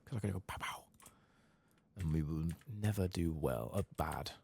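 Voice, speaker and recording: A soft-spoken man muttering playful, sweary, self-mocking ASMR commentary as he hosts a video game playthrough. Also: rumbling low, moderate pace, vocal fry, a little hiss or hum. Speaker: host of a gaming playthrough channel.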